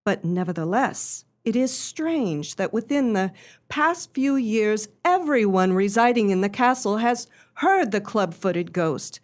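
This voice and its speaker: A woman playing an earnest, genteel young lady in a radio play, telling her story in formal, measured phrases. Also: medium pitch, lively intonation, close to an everyday voice. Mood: earnest, grave.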